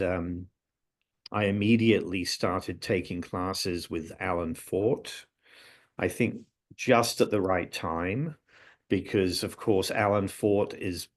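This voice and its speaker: An older man from England, a music theory professor recalling his career on a video call from his office, with relaxed storytelling and joking asides. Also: deep voice, slow pace. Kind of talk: casual interview. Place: office, indoors. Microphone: video call, low-angle webcam.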